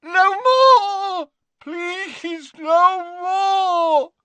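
A man's voice: very high-pitched, extremely slow, pushed and bright, dry recording, pitched above the performer's own voice, full character voice. A man voicing a tormented old wizard professor in an audiobook, begging in agony with a strained, wailing voice. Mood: screaming, begging. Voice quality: strained, wailing, breaking into screams. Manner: moaning, begging repetition.

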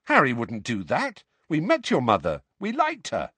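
A man's voice: mid-range voice, huge pitch swings, moderate pace, a bit bright, slightly rough, dry recording, exaggerated voice. A man voicing a loyal, blunt teenage boy who turns aggressive. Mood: surprised.

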